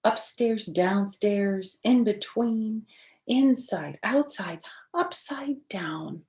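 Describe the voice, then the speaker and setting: An older woman reading a picture book aloud to children, expressive and deliberate, with friendly asides to young listeners. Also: mid-range voice. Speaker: storyteller for children. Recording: echoey room.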